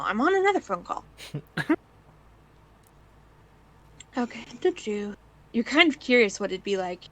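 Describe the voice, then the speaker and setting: A woman's voice: mid-range voice, fairly bright tone, rough recording. A woman narrating fan fiction informally and chatting over an online voice call. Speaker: narrator, stream reader.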